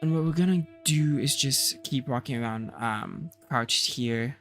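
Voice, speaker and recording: A man streaming a survival game, thinking aloud in casual commentary, his voice a bit rough and tired. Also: mid-range voice, a little slow, a bit bright, soft background music. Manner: rambling, thinking aloud with fillers. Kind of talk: casual let's-play commentary.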